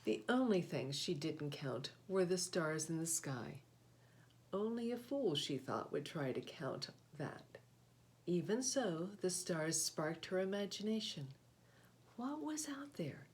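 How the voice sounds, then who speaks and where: A woman reading a children's picture book aloud, with deliberate, expressive phrasing and short explanatory asides. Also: on the low side. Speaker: read-aloud host, storyteller.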